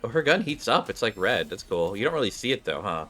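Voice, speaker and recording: A man's voice: lowish voice, sing-song, fast talker, pushed and bright. A man doing a casual game playthrough, reading the story aloud and commenting as he goes, with music behind him.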